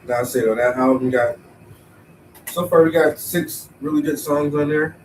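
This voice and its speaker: A man thinking aloud in a relaxed, rambling way as he paints, sounding stuffy and tired with a cold. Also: a little high, moderate pace, far from the mic, a little hiss or hum, rough recording. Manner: laid-back, rambling, thinking aloud, swears casually. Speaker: painter streaming while painting. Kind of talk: casual talk to himself while painting.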